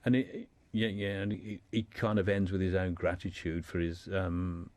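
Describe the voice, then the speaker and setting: A man speaking as a guest in an informal radio discussion, explaining in a halting way and often repeating words. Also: sits low, level tone, a little mellow, dry recording.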